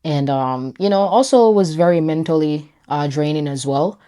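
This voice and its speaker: Low-voiced Jamaican woman talking to camera. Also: normal speed, mellow. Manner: reflective, conversational, many "you know"s and "right?"s. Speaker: brand founder. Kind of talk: casual talk to camera.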